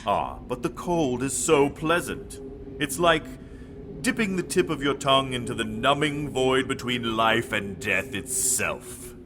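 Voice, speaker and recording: A man playing a centuries-old, morbid Dark Lord in an audio drama, speaking formally and savoring his words. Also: medium pitch, lively intonation, a little slow, noisy background, natural voice. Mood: morbid relish.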